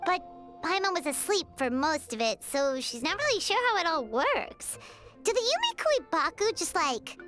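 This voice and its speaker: A woman's voice: extremely high pitch, very animated, moderate pace, a bit bright, heavily acted. A woman voicing a tiny, cheerful, curious female fairy companion in a video game, with a childlike manner. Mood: curious, chatty. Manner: refers to herself in third person. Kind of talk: animated video game voice acting. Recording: music behind the voice.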